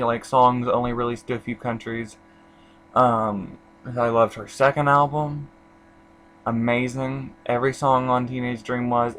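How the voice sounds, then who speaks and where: A young man from Indiana talks through an album in a loose, chatty vlog. His voice sits at an ordinary middle pitch. He wanders off track, restarts sentences and leans on fillers. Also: a bit bright, rough recording. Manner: rambling, lots of fillers and restarts. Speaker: album reviewer. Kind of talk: casual vlog review.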